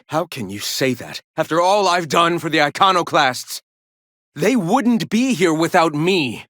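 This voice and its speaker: A zealous game-character cult leader with a bright, forceful, fairly high voice. He preaches in grandiose, theatrical phrases that swing widely in pitch. The recording is dry and close. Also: dry recording. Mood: anguished, defensive. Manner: grandiose, sermonizing, theatrical oratory. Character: zealous, preachy male leader of a colonial religious movement. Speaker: movement leader. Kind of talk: scripted video game voice acting.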